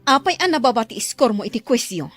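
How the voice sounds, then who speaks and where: A woman's voice: fairly high pitch, very animated, fast, a bit bright, faint music behind. An actress playing a harsh, scolding mother in an Ilocano radio drama, suspicious and resentful.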